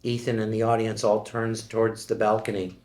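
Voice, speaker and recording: A man reading stage directions aloud at a screenplay table read, steady and matter-of-fact. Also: on the low side, normal speed. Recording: echoey room.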